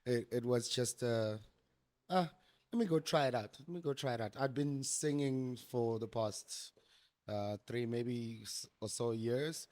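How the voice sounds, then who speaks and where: A man's voice: mid-range voice, unhurried, a little mellow. A man in his thirties, a musician guest on a podcast, laughing often and restarting his sentences. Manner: giggly, hesitant, many restarts. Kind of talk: casual interview chat.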